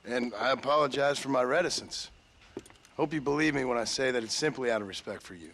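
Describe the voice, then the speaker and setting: Weathered american cowboy gunslinger, dry, polite, says little, mid pitch, western game scene w/ faint outdoor noise. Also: male voice, fairly quick, vocal fry, a bit bright. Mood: guarded. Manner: laconic, formally polite, dry. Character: reserved, courteous, weathered Old West gunslinger. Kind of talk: video game cutscene acting.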